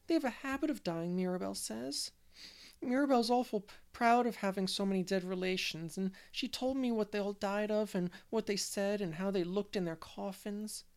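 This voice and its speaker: A woman's voice: a little high, a little mellow, voice pushed up, natural voice. A woman voicing a timid little girl who stammers and sobs as she reads an audiobook. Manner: sobbing, stammering. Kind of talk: audiobook character voice.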